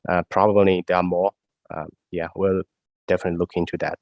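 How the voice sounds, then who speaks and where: A man researcher answering questions after his talk, his voice nasal from a cold, halting with many fillers. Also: deep voice, flat delivery, no echo, dull audio. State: congested, nasal voice. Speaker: research scientist presenting.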